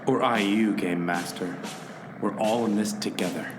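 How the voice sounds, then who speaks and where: A man's voice: fairly low pitch, moderate pace, music in the background, lightly acted. A man playing an earnest, overwrought hero who narrates a radio play. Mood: solemn, earnest. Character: earnest, dramatic young hero and narrator, easily exasperated.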